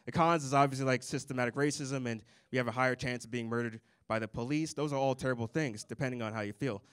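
A young man performing a stand-up set on a comedy club stage into a handheld mic, with a deadpan, dry delivery and little chuckles. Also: medium pitch, quick pace, clean tone, dry recording.

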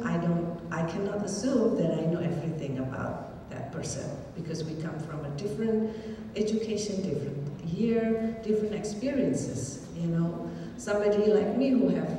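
Middle-aged woman, native indonesian speaker, talking at a podium, lowish voice, lots of um and uh, echoey hall heard from across the room. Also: background noise. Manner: earnest, thoughtful, with many fillers and repeats. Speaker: shelter advocate giving a talk. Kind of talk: public talk. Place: large hall with an audience. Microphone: podium mic, recorded by a far camera. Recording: roomy hall reverb from far off.

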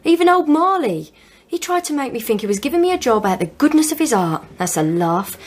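A woman's voice: high-pitched, very animated, fairly quick. An actress playing a hard-bitten, cynical young shop girl with a blunt manner, in a radio drama. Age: young adult.

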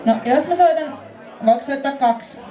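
A woman's voice: high-pitched, recorded from a distance. Young woman chatting from a club stage. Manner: sings to her own acoustic guitar. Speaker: singer-songwriter. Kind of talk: live acoustic performance with stage banter. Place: small club stage, indoors. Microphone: vocal mic on stand. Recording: roomy live club sound, crowd noise. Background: guitar and audience.

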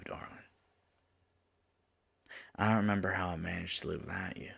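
A man playing a lovesick demon in an intimate ASMR roleplay, pleading tenderly with his angel lover in a gravelly, husky voice and folksy turns of phrase. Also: low-pitched, fairly bright tone, heavily acted. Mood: pleading, devoted. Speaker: voice actor in an audio roleplay.

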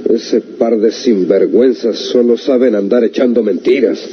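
A muffled vintage radio recording with faint noise carries an actor's performance of a stubborn Mexican male lawyer. He sits at a middling pitch and speaks formally, with emphatic self-assurance. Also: normal speed, lightly acted. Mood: dismissive, insistent. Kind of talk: old Mexican radio serial acting.

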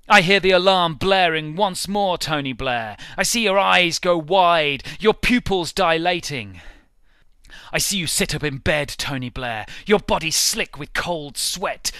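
A man giving a theatrical, intense and deliberate dramatic reading of satirical prose. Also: high voice, sharp tone, dry recording. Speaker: amateur reader.

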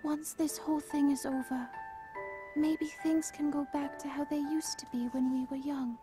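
A woman playing a gentle, shy young fish-woman healer princess in a video game cutscene, speaking softly and tenderly with some hesitation. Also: high voice, level tone, normal speed, close to an everyday voice. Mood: devoted, shy. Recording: game cutscene, clear music behind.

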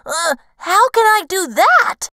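A woman's voice: very high-pitched, takes it slowly, no room sound, clean studio sound, voice pushed up, exaggerated voice. A woman voicing a young male fox in a storybook, earnest and naive with a confident streak. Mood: eager, confident. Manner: earnest, puzzled, excitable.

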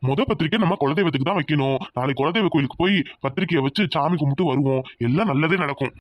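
A woman voicing a gentle village farmer, a husband and father who keeps reassuring his wife. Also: sits low, very fast, no room sound, muffled recording. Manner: soothing, reassuring.

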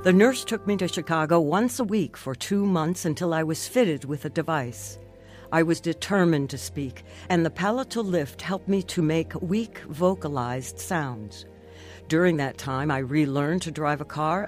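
A woman's voice: sits low, animated, a little slow, a little mellow, faint music behind. An actress playing a determined woman who narrates her own recovery in a scripted radio drama.

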